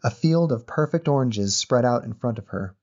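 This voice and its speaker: A man reading a literary short story as narrator in a measured, descriptive manner. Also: mid-range voice, expressive, a little mellow, muffled recording.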